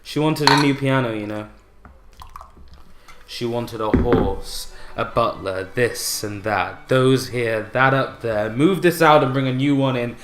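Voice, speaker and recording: Actor as slow, brooding, bitter widower. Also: male voice, medium pitch, noisy background, lightly acted. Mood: bitter, resentful. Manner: brooding, deliberate, theatrical delivery with pauses. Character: bitter, broken widower recounting his unhappy marriage. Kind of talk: dramatic radio play monologue.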